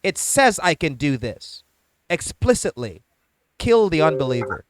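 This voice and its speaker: A Protestant man hosting a casual debate, talking in a steady, measured, explanatory way. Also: on the high side, no room sound.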